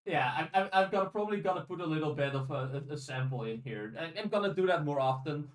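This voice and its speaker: A man's voice: a little high, lively intonation, quick pace, recorded from a distance, poor audio. A man hosting a podcast in casual conversation, thinking aloud analytically and often restarting his phrases. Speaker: podcast host. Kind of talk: casual chat.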